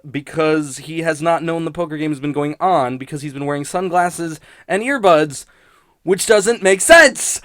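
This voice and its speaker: A man's voice: high voice, a bit bright, no echo, studio quality. A man rambling casually and sardonically in an unscripted review, swearing freely like a podcast critic.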